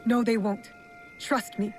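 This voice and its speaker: A woman's voice: fairly high pitch, flat delivery, takes it slowly. An actress playing a resolute, principled young shinobi woman in a video game, speaking firmly and earnestly. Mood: reassuring, firm. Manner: firm, earnest. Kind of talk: video game cutscene acting. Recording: loud music behind the voice.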